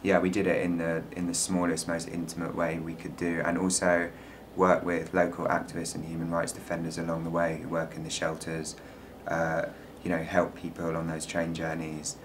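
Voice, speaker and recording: A man with a London accent talks about his film in a relaxed way, with plenty of fillers. His voice sits very low and stays level, with little rise and fall. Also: moderate pace, few pauses, noisy background. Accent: London English. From England. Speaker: filmmaker guest. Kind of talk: panel interview.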